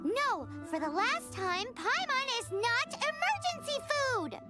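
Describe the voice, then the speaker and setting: Squeaky little creature companion for a game, indignant and childlike, piercingly high, big pitch leaps. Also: female voice, sing-song, takes it slowly, heavily acted. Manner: talks of self in third person. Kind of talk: video game voice acting. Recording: music behind the voice.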